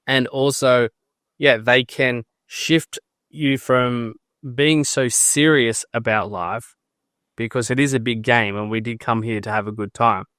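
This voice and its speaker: A man's voice: mid-range voice, steady tone, unhurried, fairly bright tone, clear, resonant tone, no room sound, clean studio sound. A man hosting a podcast interview, talking in a friendly, earnest way with a few hesitant restarts. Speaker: podcast host.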